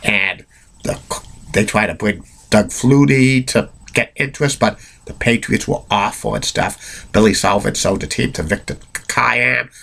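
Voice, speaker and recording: Guy with a cold rambling thru sports history, husky gravelly voice, lots of restarts. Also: on the low side, fairly bright tone. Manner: rambling, with many restarts and fillers; bluntly opinionated. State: has a cold. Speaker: amateur sports history narrator. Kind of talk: unscripted solo narration.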